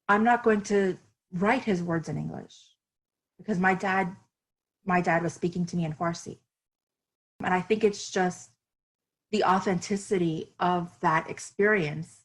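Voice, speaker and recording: A woman's voice: lowish voice, husky, low-quality recording. A woman author from Miami, speaking earnestly and reflectively as a podcast interview guest, with fillers and restarts.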